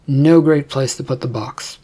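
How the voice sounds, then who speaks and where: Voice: mid-range voice, measured pace, dark tone, breathy edge. A narrator voicing a distrustful young man in a storybook, muttering, hesitant and sarcastic. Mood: exasperated. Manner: muttering, stammering, grudging.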